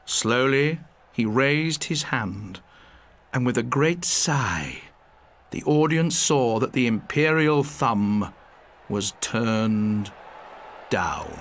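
A man's voice: medium pitch, expressive, takes it slowly, long pauses, fairly bright tone. A man reading a first-person story aloud as an audiobook narrator, theatrical and full of suspense.

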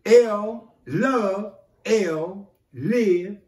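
A man philosophizing casually in a street interview, stressing and repeating his words in a preachy, drawn-out way. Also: high voice, darkish tone. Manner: preachy, drawn-out, repetitive emphasis. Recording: echoey, distant from the mic.

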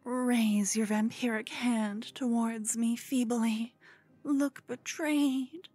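A woman's voice: fairly high pitch, fairly even intonation, slow pace, darkish tone, breathy edge. A woman playing a cute, obsessed, scheming yandere con woman in an ASMR roleplay, coy and cooing, often slipping into whispers. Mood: cooing, gloating.

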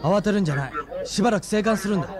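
An actor playing a level-headed, reassuring teenage schoolboy hero in a radio drama. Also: male voice, high-pitched, lively intonation, very fast, a loud crowd. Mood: concerned, steady.

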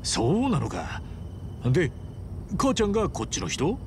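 A man voicing an easygoing but fearless Japanese tough traveller in a video game cutscene, with casual, rough street speech. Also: a little high, wildly swooping pitch, measured pace, noisy background. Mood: friendly, curious.

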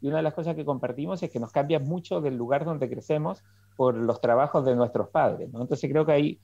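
A man's voice: a little high, fairly quick, gravelly, warm tone, no room sound. A middle-aged man, a political scientist guest on a video call, recounting his life with many ums and restarts. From Chile. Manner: reflective storytelling with many fillers and restarts. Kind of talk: interview over video call. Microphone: video call headset. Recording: muffled dry video call audio.

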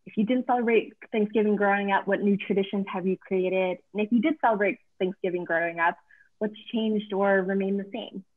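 A woman moderates a virtual panel of authors, speaking in an effusive, measured way. Her voice comes through thin and phone-like, squeezed down to a narrow band. She sits at a middle pitch. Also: fairly even intonation. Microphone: video call. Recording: tinny phone-like video call audio.

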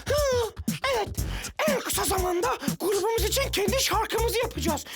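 A cocky little cartoon kid speaks with childlike confidence. The pitch is extremely high, and music plays loudly underneath. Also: female voice, animated, normal speed. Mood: boastful.

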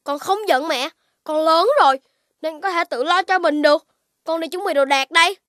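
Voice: very high-pitched, normal speed, bright, forceful tone, dry recording, clean studio sound. A performer voicing an earnest, headstrong little child character in a children's cartoon, in an emphatic childlike manner. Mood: defiant. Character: earnest, headstrong little puppy child named Đốm and friends.

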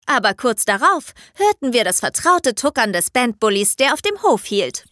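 A woman's voice: fairly high pitch, sing-song, normal speed, heavy background noise. An actress playing a spirited teenage girl in a band who tells and acts out an audio drama. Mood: bright narrating. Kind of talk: German children's audio drama, narration and dialogue.

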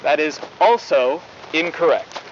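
A young man playing a cheery, faintly menacing high school news anchor in a 1990s horror broadcast, with formal, polished delivery. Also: lively intonation, measured pace. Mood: formal, upbeat. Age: young adult. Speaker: news host. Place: outdoors in a forest at night. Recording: dull lo-fi VHS-style audio, faint noise.

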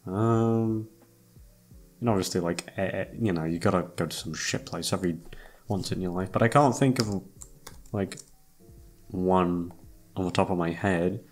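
A man's voice: low voice, moderate pace, pauses often. A young man chatting casually to his listeners in a hesitant, self-deprecating way, swearing freely and trailing off. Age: young adult. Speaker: YouTube voice actor and channel host. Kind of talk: casual rambling chat to listeners. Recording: music playing behind the voice. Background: background music.

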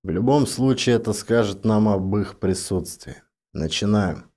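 A man playing a grim, cautious scientist on a space expedition, explaining seriously and in a measured way for an audiobook. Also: fairly low pitch, dark tone. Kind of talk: audiobook drama character voice.

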